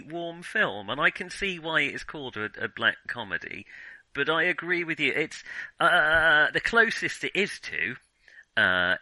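Male film podcast host, sharp bright tone, close dry sound, hesitant, thinking-aloud conversational delivery. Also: on the high side, no echo, low-quality recording. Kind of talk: casual film discussion.

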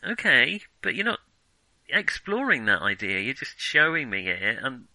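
Male film podcast host, very bright sharp voice, dry close sound, opinionated and halting in casual discussion. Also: mid-range voice, very animated, no room sound, low-quality recording. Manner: hesitant, self-interrupting, opinionated. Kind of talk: casual film criticism chat.

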